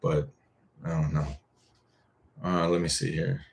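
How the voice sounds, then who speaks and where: A man's voice: a bass voice, little pitch movement, a little slow, creaky. A young man hosting a live Q&A, chatting casually and frankly, reading viewer comments and swearing now and then. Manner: laid-back, rambling, frank with casual swearing. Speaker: boyfriend-audio creator hosting a live Q&A.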